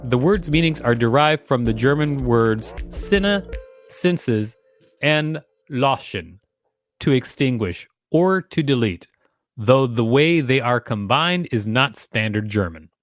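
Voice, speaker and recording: A chatty man co-host reads an article aloud and slips in teasing asides. His voice sits at a middling pitch for a man. Faint music hums behind him. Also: lively intonation, slow, no room sound. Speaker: podcast co-host reading articles. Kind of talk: reading aloud with banter.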